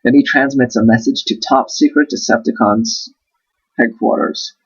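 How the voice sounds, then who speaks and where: A man reads a children's adventure story aloud in a husky voice at a middling pitch. He is playful and drops in wry asides. The recording is a little dull and lo-fi. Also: steady tone, moderate pace. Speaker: host reading a book aloud.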